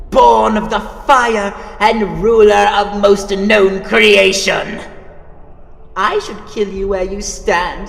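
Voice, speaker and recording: Woman as male fire emperor villain, imperious, gloating, unhurried, very expressive pitch, fantasy cartoon. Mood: haughty threat. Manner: haughty, theatrical, menacing. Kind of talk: animated fantasy villain acting. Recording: echoey hall with long reverb.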